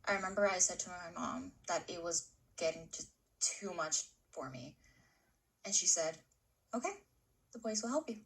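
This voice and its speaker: A woman's voice: fairly low pitch, lively intonation, moderate pace, pauses often, a bit bright. A young woman student actor playing a farmworker's daughter who recalls a hard-working childhood in an oral history dramatization. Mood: reminiscing. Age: young adult. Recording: distant microphone.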